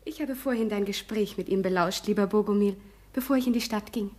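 Eine Schauspielerin spielt in einem deutschen Hörspiel eine kühle, schlagfertige junge Frau, die trocken spricht. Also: female voice, mid-range voice, brisk, heavy background noise, lightly acted. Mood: cool. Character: cool, sharp-tongued young woman with a pistol. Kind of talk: German radio drama acting.

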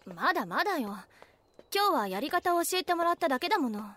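An actress playing a prickly, easily flustered young wife who talks snappily in an audio drama. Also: female voice, very high voice, sing-song, fairly quick, bright, forceful tone, exaggerated voice. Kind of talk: Japanese audio drama acting.

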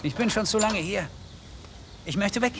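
Creaky-voiced, worn-down garage owner. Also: male voice, high voice, expressive, moderate pace, noisy background. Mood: bitter, determined. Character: sick, worn-down garage owner, desperate and dejected. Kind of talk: radio drama acting.

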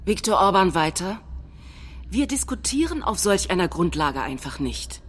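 A woman delivers scripted political quotations as a stage reading. Her voice sits somewhat low, and her delivery is measured and declamatory, like documentary theatre. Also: big pitch swings, husky.

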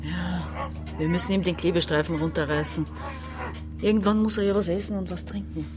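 A woman's voice: lowish voice, steady tone, fairly quick, music in the background, natural voice. An actress playing an embittered, domineering woman around forty with an Austrian accent, emphatic and reproachful in a radio drama. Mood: frustrated, bitter. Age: 40s. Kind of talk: German-language radio drama.

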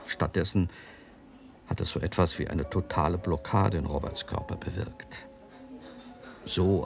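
A grave, caring doctor in a radio play. His voice is very low and creaky, and he explains things in a measured way with music clearly behind him. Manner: measured, explanatory, hesitant pauses. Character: grave, compassionate male doctor explaining a strange case. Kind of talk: German radio drama acting. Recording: music under the voice.

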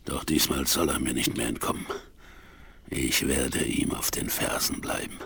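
An actor playing a grim, determined frontier scout in a 1970s German Western radio play, muttering in a hushed voice. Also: male voice, a bass voice, steady tone, moderate pace, gravelly. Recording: faintly noisy vinyl rip.

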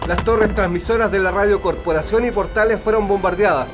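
An actor playing an urgent, commanding Chilean union comrade in a radio drama. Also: male voice, high-pitched, fairly quick, over music, natural voice. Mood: urgent, alarmed.

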